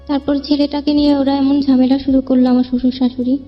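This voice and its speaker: Young female guest, Bangladeshi accent, highish voice, informal run-on personal storytelling, music underneath. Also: little pitch movement, fast talker. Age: young adult. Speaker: guest telling her life story. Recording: dull lo-fi, long reverb, music behind.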